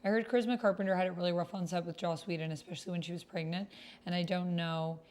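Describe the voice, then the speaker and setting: A woman's voice: fairly low pitch, steady tone, fast, darkish tone. A woman chatting casually and thinking aloud as she plays a text roleplaying game, often questioning her own words. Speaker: streamer playing a text-based roleplaying game. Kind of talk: casual livestream chat, thinking aloud while reading and typing.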